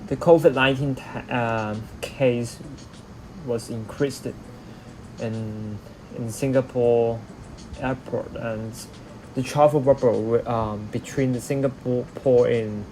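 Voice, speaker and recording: A man with a Hong Kong accent, a native Cantonese speaker, answering viewers' chat questions on a live stream in halting English, often repeating words as he searches for them. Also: medium pitch, fairly even intonation, mellow, a little hiss or hum, rough recording. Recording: music playing clearly behind.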